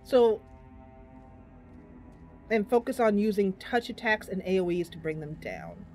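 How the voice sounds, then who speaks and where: A woman's voice: medium pitch, animated, measured pace, darkish tone, faint music behind. A woman streaming a game, casually commentating live and thinking aloud as she chats with her viewers.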